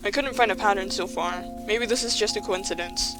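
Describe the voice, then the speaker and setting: A woman's voice: medium pitch, a little fast, lightly acted. A woman playing a brave, caring, level-headed human child in an audio drama, in a plain, natural way. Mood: puzzled. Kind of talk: audio drama character acting. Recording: music under the dialogue.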